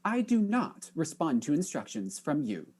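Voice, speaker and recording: A haughty robot butler, acted by a woman, with a very low, mellow voice that swings widely in pitch. The phrasing is formal, precise and literal, with cool insolence toward the humans it serves. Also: sing-song, normal speed, slightly rough, full character voice. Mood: flat insistence.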